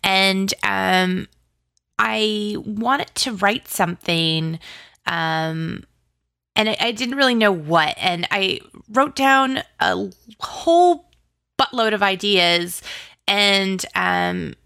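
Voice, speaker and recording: A woman's voice: mid-range voice, animated, slow, vocal fry, pushed and bright, clear, resonant tone, dry recording, clean studio sound. A woman speaking as an interview guest in casual, informal conversation, with slangy phrasing full of "like". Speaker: interview guest, audio drama writer.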